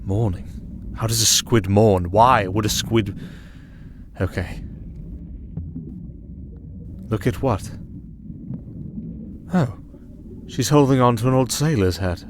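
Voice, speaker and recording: A man's voice: fairly low pitch, slow pace, pauses often. An actor playing a wry, melancholy castaway sailor and singer in an ASMR roleplay, hesitant and dryly sardonic in his chatty talk. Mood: uneasy, puzzled. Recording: clearly audible ambient noise under voice.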